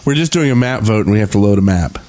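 A man's voice: fairly low pitch, animated, quick pace, warm tone. A man hosting a gaming podcast in casual, conversational talk. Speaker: podcast host.

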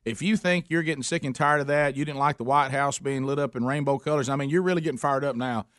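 A man's voice: mid-range voice, fast talker, a bit bright, dry recording, studio quality. A man, hosting a radio talk show in a joking, folksy way with plenty of fillers. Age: 50s. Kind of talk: casual radio banter.

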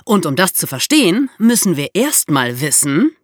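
Lively, expressive history podcast co-host. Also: medium pitch, moderate pace, heavy background noise. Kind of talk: conversational explanatory history podcast.

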